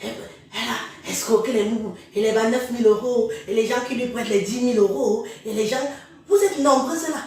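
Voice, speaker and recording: A woman's voice: on the high side, brisk, darkish tone. A woman from Cameroon with a head cold, giving a scornful, preachy live-stream rant that addresses her listeners directly. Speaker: social media live streamer. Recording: very echoey room, far from mic.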